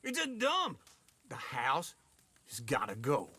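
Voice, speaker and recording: An actor playing a crotchety, mocking old-timer cowboy in a video game, folksy and crude, with an American accent. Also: male voice, medium pitch, huge pitch swings, slow, bright, forceful tone, breathy edge, heavily acted. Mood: scornful. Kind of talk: comic video game dialogue.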